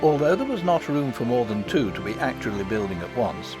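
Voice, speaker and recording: A man narrating an audiobook in an expressive, dramatic storytelling style. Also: mid-range voice, brisk. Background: background music.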